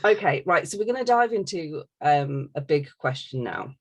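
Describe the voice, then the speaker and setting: Woman hosting a podcast, bit raspy and creaky, chatty and informal. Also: low voice, expressive, moderate pace. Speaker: podcast host reading listener questions. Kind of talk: casual podcast chat.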